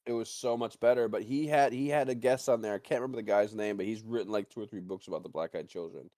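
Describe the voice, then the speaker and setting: A man with a low voice talks in a loose, excitable ramble, like a co-host sharing opinions with friends. He swears freely and keeps it casual. The sound is dry, with hardly any room in it. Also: quick pace, no room sound. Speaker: podcast co-host.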